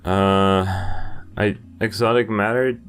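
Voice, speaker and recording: A man's voice: fairly low pitch, steady tone, takes it slowly, a bit bright, soft background music. A man in his twenties hosting a playthrough, reading game narration aloud with casual asides. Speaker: gaming video host. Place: indoor room, webcam in corner.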